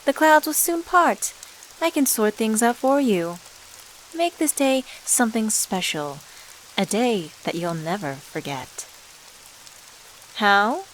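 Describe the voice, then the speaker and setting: A woman playing an enigmatic, suave stranger in a scripted ASMR roleplay, speaking to the listener in a measured, teasing, condescending and knowing way. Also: on the high side, expressive, slight background noise, low-quality recording. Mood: coy, persuasive. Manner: measured, teasing, rhetorical questions, condescending endearments.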